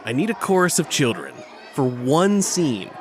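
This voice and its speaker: An actor playing a brisk, commanding celebrity hero who directs a musical in an audio drama, decisive and insistent. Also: male voice, on the high side, big pitch swings, some chatter behind. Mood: brisk, persuasive. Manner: decisive, insistent, talks over objections.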